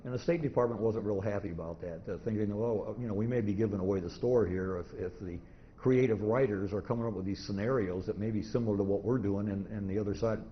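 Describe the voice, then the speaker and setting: A middle-aged American man with a fairly low voice gives an informal talk, picking through his points haltingly and methodically. He tells it as anecdote. The recording is somewhat dull, with noise behind him. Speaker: presenter, old-time radio collector. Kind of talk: informal convention lecture. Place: convention presentation room. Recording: dull, noisy live room recording.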